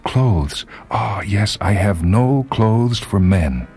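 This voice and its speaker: Man voicing a yearning, halting spinster, pitched up. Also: lively intonation, a little mellow, soft background music. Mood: musing. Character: lonely middle-aged spinster, yearning and flustered.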